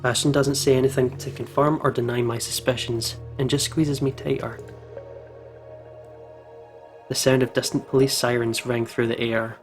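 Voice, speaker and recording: A man narrating a visual novel in an expressive way, as a playful gaming YouTuber. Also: medium pitch, moderate pace, soft background music.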